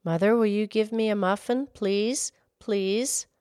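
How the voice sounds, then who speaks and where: A woman's voice: mid-range voice, steady tone, a little slow, darkish tone, smooth, dry recording, studio quality, pitched above the performer's own voice, close to an everyday voice. A woman voicing a polite boy of about ten, asking for things earnestly.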